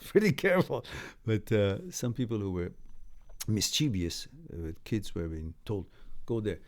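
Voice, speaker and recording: A man whose first language is Farsi hosts a live stream, reading viewer comments aloud and giving blunt, breezy advice, laughing often between sentences. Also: medium pitch.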